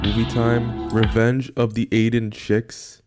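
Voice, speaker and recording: A man's voice: mid-range voice, flat delivery, slow, loud music behind the voice. A man giving casual, amused live reaction commentary, informal and thinking aloud. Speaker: reactor, streamer.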